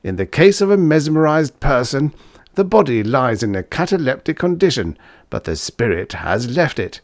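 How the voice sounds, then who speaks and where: A man's voice: mid-range voice, sing-song, moderate pace, no room sound, pitched above the performer's own voice. A man voicing an earnest professor who declaims a scientific theory in an emphatic, lecturing manner for an audiobook story.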